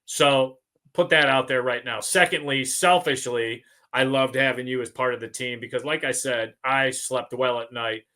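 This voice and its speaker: A man's voice: medium pitch, steady tone, normal speed, pushed and bright. An American man hosting a casual sports podcast, speaking as a sportswriter with a wry, self-deprecating manner.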